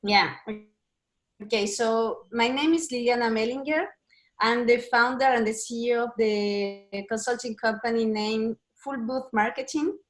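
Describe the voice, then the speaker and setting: A woman from Chile and Brazil, a business consultant and interview guest, speaking as an in a halting way as she searches for words over a video call. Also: on the high side, steady tone.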